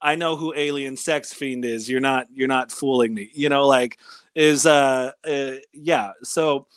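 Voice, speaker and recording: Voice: medium pitch, no echo. Californian in their thirties, dry close sound. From California, United States. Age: 30s. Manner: halting, slangy, profane. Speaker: interview guest. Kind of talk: casual chat, personal recollection.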